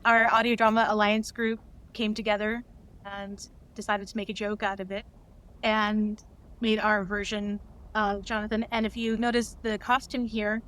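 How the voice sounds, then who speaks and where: A woman's voice: medium pitch, flat delivery, normal speed, fairly bright tone. A woman talking casually as an interview guest, explaining things in an earnest manner. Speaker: interview guest, director and writer.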